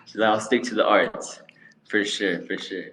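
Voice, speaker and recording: A man's voice: lowish voice, steady tone, slow pace, fairly bright tone, a little hiss or hum. A man hosting a casual interview, laid-back and slangy, with plenty of fillers. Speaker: podcast host. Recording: roomy echo.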